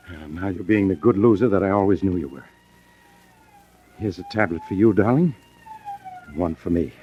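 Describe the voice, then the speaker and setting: An actor playing a smooth, persuasive, fatalistic criminal lover in a 1940s radio drama. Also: male voice, low voice, expressive, moderate pace, a little hiss or hum. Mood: grim, soothing. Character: smooth scheming male lover, coolly fatalistic murderer. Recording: dull 1940s radio recording.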